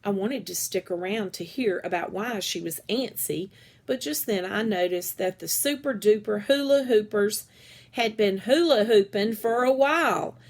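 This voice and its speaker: A woman reading a children's novel aloud as an expressive storyteller who acts out the dialogue. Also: mid-range voice, normal speed.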